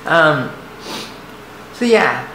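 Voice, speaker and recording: Young man, light soft voice, halting and rambling confessional delivery, recorded in a roomy space with faint background hiss. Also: fairly high pitch, big pitch swings. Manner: hesitant, rambling, many restarts and fillers. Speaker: vlogger. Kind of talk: personal storytelling to camera. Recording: echoey room, faint noise.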